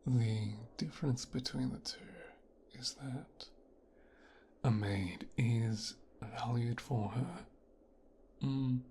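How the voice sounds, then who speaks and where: A man playing a possessive, coldly calculating young mafia boss's son in a hushed, breathy ASMR roleplay, speaking slowly and deliberately. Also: mid-range voice, a little hiss or hum. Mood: coolly appraising. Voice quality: hushed, breathy, half-whispered. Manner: slow, deliberate, musing with pauses.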